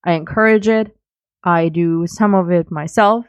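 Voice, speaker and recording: A woman's voice: lowish voice, unhurried, no room sound, studio quality. A woman giving casual spoken comments as a podcast contributor.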